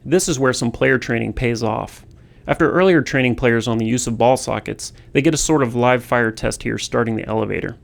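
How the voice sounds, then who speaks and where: A man's voice: mid-range voice, fairly quick, gravelly. A man who develops games, reading scripted commentary on level design. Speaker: game developer.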